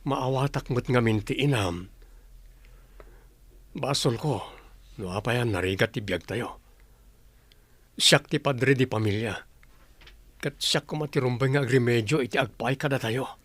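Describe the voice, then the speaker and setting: Apologetic family man, radio serial: mid pitch, earnest, comforting, dry studio. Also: unhurried, long pauses, fairly bright tone, dry recording. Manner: soothing, earnest. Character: gentle, apologetic poor father and husband. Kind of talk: radio drama acting.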